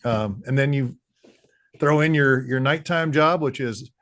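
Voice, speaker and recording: A man's voice: medium pitch, a little slow, fairly bright tone. Upbeat male interviewer. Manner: enthusiastic, encouraging. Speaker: interviewer host. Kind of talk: casual interview chat.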